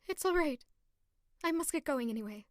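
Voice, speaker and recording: Woman acting a very high-voiced, timid court lady. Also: animated, normal speed, no room sound, clean studio sound. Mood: nervous, shaken. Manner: pleading, nervous. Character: timid, anxious young lady of the royal court. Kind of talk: audio drama acting.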